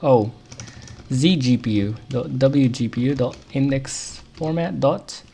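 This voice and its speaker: Gravelly man with an Arabic accent. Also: mid-range voice, dark tone. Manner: muttering to himself while debugging, quick chuckles. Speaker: programmer streaming live. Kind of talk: live coding stream, thinking aloud.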